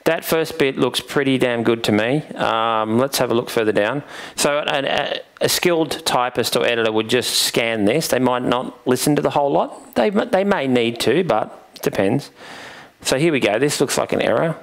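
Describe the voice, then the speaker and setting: A man, giving an informal software tutorial into a headset microphone. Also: mid-range voice, normal speed. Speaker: presenter, software vendor demonstrating a product. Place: indoors, presenting at a computer.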